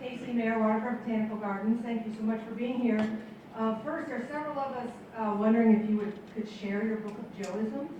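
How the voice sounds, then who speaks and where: A woman's voice: on the high side, steady tone, fairly quick, dark tone. Woman asking a polite question from the floor, super echoey, far off and noisy. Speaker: audience member asking questions. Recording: muffled, echoey, distant, noisy room.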